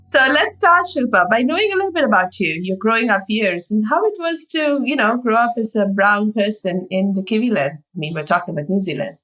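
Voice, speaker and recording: A woman's voice: medium pitch, expressive, a little fast, hardly any pauses, fairly bright tone, low-quality recording. A woman hosting a podcast, chatty, asking long rambling questions. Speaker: podcast host. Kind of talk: casual interview chat.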